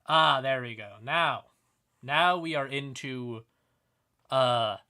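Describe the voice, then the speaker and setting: Male livestream host, conversational and sweary lore explainer, mid-pitched voice with bright, punchy tone. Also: takes it slowly, clean tone.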